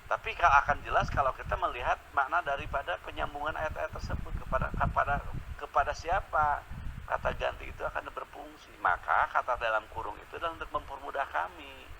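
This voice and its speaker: A man's voice: fairly high pitch, fairly quick. A man speaking in an informal religious debate, explaining patiently with many repeated tag words. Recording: clear background noise, amateur online audio.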